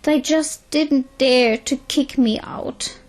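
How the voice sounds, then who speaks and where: Woman acting a plaintive, slow-spoken outcast turtle-spirit nun. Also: sits high, darkish tone, voice pushed up. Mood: hurt, sad. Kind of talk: audio drama acting.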